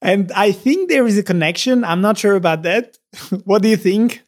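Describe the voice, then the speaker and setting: A man from Naples with a Neapolitan Italian accent, hosting a relaxed interview in English and laughing easily. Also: high voice, moderate pace. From Naples, Italy. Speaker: podcast host. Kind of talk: conversational interview.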